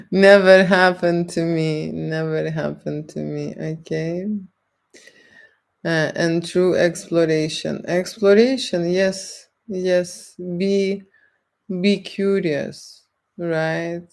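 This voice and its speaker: A woman's voice: lowish voice, vocal fry. A woman with a Lithuanian accent, a photographer answering street interview questions and often checking in with "okay?". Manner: deliberate, keeps checking in with "okay?". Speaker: erotic photographer.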